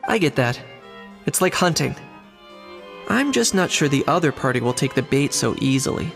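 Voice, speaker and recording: A man's voice: mid-range voice, big pitch swings, moderate pace, gravelly, a bit bright, big-room sound, soft background music. An actor playing a practical, hunter-minded young man in a video game, speaking in a thoughtful, level way. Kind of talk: video game cutscene dialogue.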